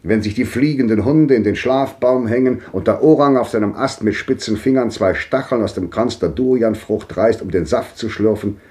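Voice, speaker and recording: A man's voice: lowish voice, moderate pace, hardly any pauses, dark tone, close to an everyday voice. An actor playing a cultured, world-weary old man who speaks in composed, rhetorical monologue in a 1950s radio drama. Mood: reflective, declamatory. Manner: declamatory, rhetorical phrasing.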